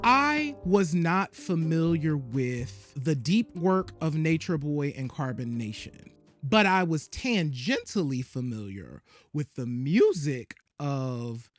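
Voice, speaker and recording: A man with a somewhat high voice speaks as a podcast co-host. His delivery is casual and conversational, and the recording is dry with no room sound. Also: no room sound.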